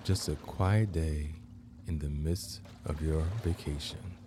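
A man hosting a guided meditation, narrating in measured, deliberate phrases with long pauses. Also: a bass voice, steady tone, background noise. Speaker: guided meditation podcast host.

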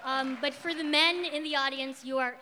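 A woman's voice: high-pitched, steady tone, brisk, background noise. A woman moderating a live panel and podcast, upbeat with laughing asides. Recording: reverberant hall.

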